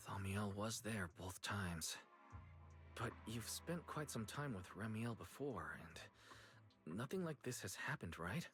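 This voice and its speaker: An actor playing a calm, caring, protective young man in a video game, speaking in a gentle, measured way. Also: lowish voice. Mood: thoughtful. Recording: game music underneath.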